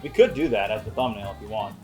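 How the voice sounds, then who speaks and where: A man's voice: on the low side, lively intonation, fast, over music. A man co-hosting a casual film review, bantering in a mock-serious, hyperbolic way. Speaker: co-host of a movie review show. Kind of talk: casual chat with joking banter.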